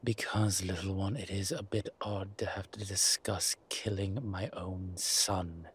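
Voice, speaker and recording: A man playing a centuries-old vampire in a roleplay audio drama, suave, wry and protective, speaking in a measured, theatrical way with deliberate pauses. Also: low voice, fairly even intonation, a bit bright, heavily acted. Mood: grave, reluctant. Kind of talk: scripted roleplay audio drama addressed to the listener. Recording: clear background ambience noise.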